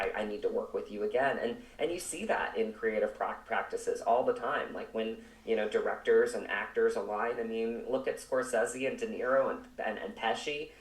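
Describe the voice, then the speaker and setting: Male interview guest, actor. Low voice, earnest and emphatic, conversational, picked up from a distance with room echo. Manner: reflective, emphatic, circling back over phrases. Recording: roomy echo, distant microphone.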